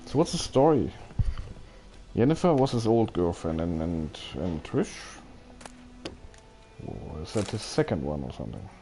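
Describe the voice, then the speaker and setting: A man's voice: sits low, very animated, unhurried, long pauses, dark tone, a little hiss or hum. A man chatting casually as he livestreams a video game, often hesitant and thinking aloud. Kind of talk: casual rambling game stream commentary, chatting with viewers.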